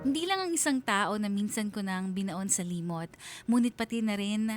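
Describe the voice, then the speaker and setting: A woman's voice: medium pitch, animated, moderate pace, faint music behind. A woman narrating a scripted radio drama story in a confiding, reflective manner.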